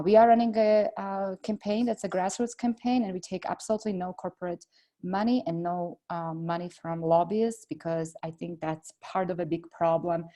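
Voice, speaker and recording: A middle-aged woman from Slovakia with a Slovak accent, answering interview questions earnestly and filling pauses with "uh.". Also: fairly low pitch. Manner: earnest, steady, frequent "uh" fillers. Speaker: congressional candidate.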